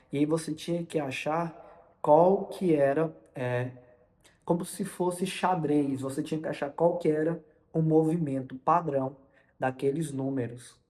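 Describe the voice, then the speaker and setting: A young man with a Brazilian Portuguese accent tells a story informally, in a halting way, often repeating and correcting his words. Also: fairly high pitch, dark tone. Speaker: vlogger recounting personal experience. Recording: long reverberant room echo.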